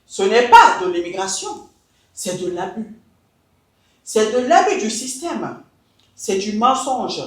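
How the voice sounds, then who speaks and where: A woman from Mali, an education consultant, giving an emphatic, deliberate scripted talk straight to her listeners. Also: medium pitch, big pitch swings. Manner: emphatic, deliberate, switches into English. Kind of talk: scripted address to camera. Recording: very echoey room.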